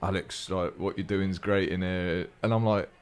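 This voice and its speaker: A man in his late thirties with an English accent and a low voice. He hosts a relaxed podcast conversation and speaks in a blunt, matey way, swearing freely. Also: moderate pace. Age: late 30s. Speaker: podcast host. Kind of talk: casual podcast chat.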